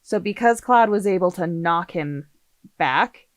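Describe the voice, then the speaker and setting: A woman's voice: lowish voice, animated, a little slow, no echo. Woman, podcast panelist, casual fan chat, enthusiastic, dry sound.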